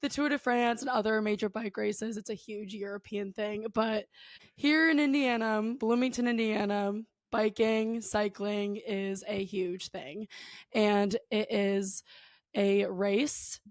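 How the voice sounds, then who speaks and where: A woman in her twenties, a guest on a podcast, with a hoarse voice, explaining things in a lively way. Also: medium pitch, takes it slowly, no room sound, clean studio sound. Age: 20s. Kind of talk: casual chat.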